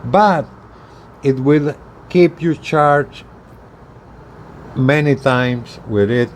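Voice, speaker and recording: Slow-talking man with clearly noisy background. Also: mid-range voice. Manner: halting, repeats words as explaining. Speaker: hobbyist video presenter, truck driver. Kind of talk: casual product demonstration.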